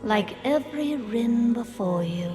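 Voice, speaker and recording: An actress playing a cold, commanding, bitter villainess in a game cinematic, sardonic and deliberate. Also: female voice, on the high side, expressive, dark tone. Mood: cold, menacing. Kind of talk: dramatic game cinematic acting. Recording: long reverb under cinematic music.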